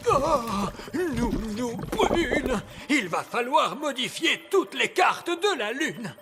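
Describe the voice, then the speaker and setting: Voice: medium pitch, sing-song, slow, heavy background noise. An actor playing a fussy, exasperated elf mission leader in a French cartoon dub, prim and admonishing.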